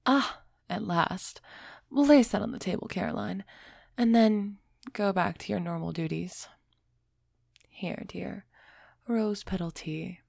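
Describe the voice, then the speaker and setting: A woman playing a refined, centuries-old female vampire in an intimate audio roleplay, gently imperious and softly teasing as she speaks straight to the listener. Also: mid-range voice, creaky, no echo, full character voice. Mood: gracious, caring. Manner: slow, measured, softly teasing, addressing listener directly.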